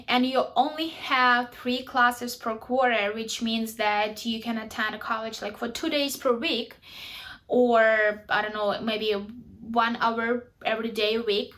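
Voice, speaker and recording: A young woman from Ukraine speaks in a chatty, direct way, her Ukrainian accent clear. Her voice sits a little high. Small grammar slips show up now and then as she explains things to camera. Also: fairly bright tone. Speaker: vlogger.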